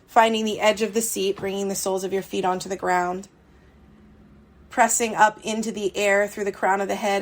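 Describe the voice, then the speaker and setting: A woman leading a guided relaxation meditation, speaking soothingly and unhurriedly in short phrases with long pauses. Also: mid-range voice. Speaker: meditation guide, yoga teacher. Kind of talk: guided yoga nidra meditation.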